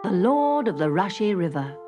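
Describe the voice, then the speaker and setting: A woman's voice: medium pitch, sing-song, moderate pace, over loud music. A woman narrating a scripted children's story.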